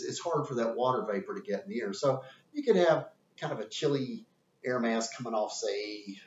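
A man's voice: lowish voice, a little hiss or hum. A man in his fifties with a Southern US accent, giving a folksy classroom lecture as a teacher in a room with a whiteboard. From American South. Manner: animated, folksy, gesturing with hands. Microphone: camera at a distance. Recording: roomy, distant, dull webcam sound.

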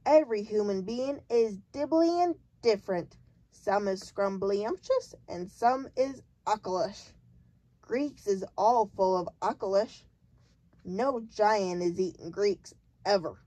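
A woman voicing a huge, jolly male giant who booms his lines and mangles his grammar with made-up words. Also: takes it slowly, mellow, no room sound, low-quality recording. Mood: booming.

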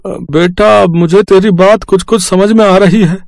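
A man playing a gentle, supportive village father in a cartoon story, reassuring and a little hesitant. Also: high-pitched, steady tone, unhurried, no room sound, studio quality. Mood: curious.

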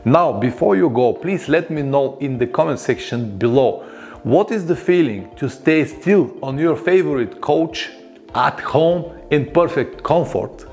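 A man in his forties from Bulgaria, speaking English with a Bulgarian accent, telling a motorcycle story to camera in his garage workshop with a lapel mic, animated and chatty. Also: fairly high pitch, unhurried, soft background music. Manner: animated, gestures a lot, chatty with non-native grammar. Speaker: motorcycle travel vlogger.

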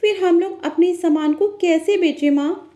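A woman's voice: very high-pitched, normal speed, dark tone, voice pushed up. A woman voicing eager, hardworking young village sisters who sell clay toys in a cartoon story. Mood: eager, questioning.

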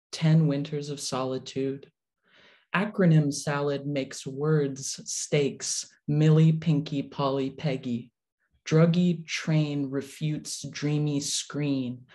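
Slow-paced woman reading poetry. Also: sits low, darkish tone. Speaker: poet reading own work. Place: remote video call. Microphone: video call microphone.

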